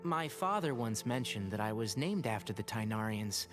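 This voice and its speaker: A woman's voice: rumbling low, animated, normal speed. A woman voicing a thoughtful young male scholar in a video game, reflective and articulate. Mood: musing. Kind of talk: scripted video game dialogue. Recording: music behind the voice.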